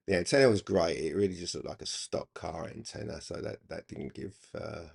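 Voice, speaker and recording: An older man reminiscing casually in a halting, rambling, reflective way. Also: very deep voice, gravelly, darkish tone, breathy edge. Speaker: hobby radio channel presenter.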